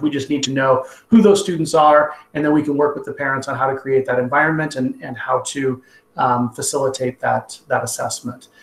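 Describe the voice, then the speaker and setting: A man's voice: medium pitch, vocal fry, fairly bright tone. Man on a video call, echoey room. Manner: conversational, many ums and restarts. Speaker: school principal. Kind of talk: online town hall update. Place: home room with wall clock. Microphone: laptop webcam on video call.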